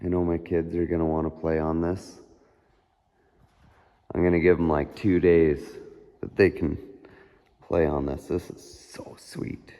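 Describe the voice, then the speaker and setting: A man with a hoarse, raspy voice chatting casually in a vlog about his shop, rambling and trailing off with fillers. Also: low voice, level tone, unhurried, pauses often, mellow. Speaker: vlogger. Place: garage shop, partly outdoors.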